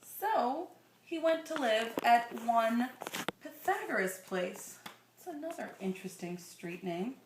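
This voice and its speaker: Woman, picture-book reader, slightly high voice, slow expressive delivery with chatty asides to the listener, roomy sound. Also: a little hiss or hum. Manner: expressive, drawn-out reading with conversational asides. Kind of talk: read-aloud storytelling with questions to the listener.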